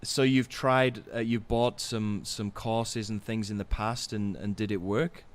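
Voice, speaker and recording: A man's voice: lowish voice, steady tone, a little slow. A man hosting testimonial calls in a casual, upbeat way, laughing often and saying his voice is going. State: losing his voice. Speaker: course host. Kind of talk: casual video call interviews.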